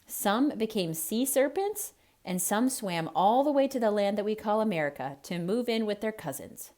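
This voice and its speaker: A woman reading a children's story aloud in a theatrical, expressive way with emphatic delivery. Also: medium pitch, normal speed. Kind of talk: theatrical read-aloud narration.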